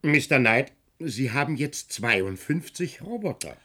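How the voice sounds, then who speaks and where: An actor playing a smug, officious tax inspector in a German radio drama, chatty and unctuous with false courtesy. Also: male voice, mid-range voice, big pitch swings, measured pace, sharp tone, heavily acted.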